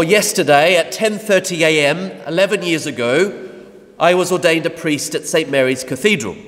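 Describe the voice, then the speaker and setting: A man in his thirties, a parish priest, preaching a measured, emphatic sermon with dramatic pauses from a lectern in a large church. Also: high voice, a bit bright. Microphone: mounted lectern mic. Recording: long church reverb.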